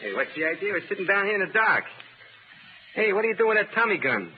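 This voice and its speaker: A man's voice: high-pitched, big pitch swings, fast talker. An edgy, slangy American gangster henchman. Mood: uneasy. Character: jumpy, talkative gangster henchman, rough and superstitious. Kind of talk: 1930s radio acting. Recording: faintly noisy, narrow-band 1930s radio.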